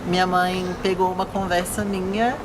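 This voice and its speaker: A woman's voice: lowish voice, level tone, moderate pace. A woman, speaking openly and directly about her own story. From Mariana, Minas Gerais, Brazil. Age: 20s. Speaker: interviewee. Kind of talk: personal testimony. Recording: noisy background ambience.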